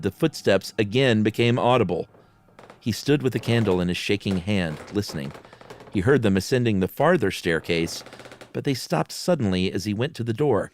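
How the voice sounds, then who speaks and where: A man's voice: on the low side, a little hiss or hum. A man hosting and reading a horror story dramatically, with casual asides. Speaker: podcast host and story reader. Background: music.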